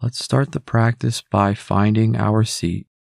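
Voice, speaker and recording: A man leading a guided meditation in a calm, measured, instructional way. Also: lowish voice, creaky, dry recording, clean studio sound.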